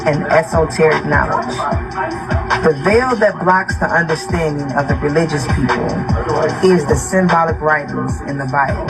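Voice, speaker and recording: A man's voice: on the high side, rough recording. A man giving a spiritual lecture as an esoteric teacher. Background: loud music.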